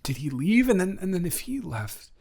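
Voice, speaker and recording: A man's voice: high-pitched, sing-song, moderate pace, vocal fry, a little mellow, full character voice. A man playing a flustered, befuddled young guy in an improvised roleplay audio, stammering and rambling as he talks to the listener. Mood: flustered, confused. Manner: stammering, rambling, awkwardly backtracking.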